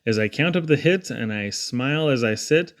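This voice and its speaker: A man reading humorous children's poetry aloud in a theatrical, rhythmic, expressive way. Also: mid-range voice, moderate pace. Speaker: poetry reader.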